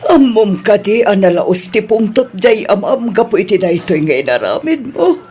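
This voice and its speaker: A woman with a Filipino accent playing a worried, scolding middle-aged mother with a husky voice in an audio drama. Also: mid-range voice, very animated, moderate pace, dark tone, slight background noise, natural voice. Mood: stern, upset. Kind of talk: Ilocano radio-style drama acting.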